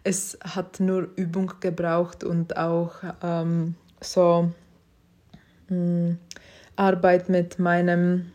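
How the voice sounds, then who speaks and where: Young woman, non-native speaker, slow and hesitant, flat even delivery, lower voice, podcast host. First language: not German. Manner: hesitant, many fillers and restarts; self-reflective, encouraging. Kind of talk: casual personal monologue.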